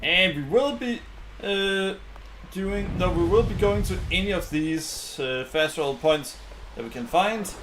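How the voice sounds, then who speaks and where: A man giving casual game commentary as a streamer, thinking aloud in a halting way. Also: high-pitched, expressive, background noise. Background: game music.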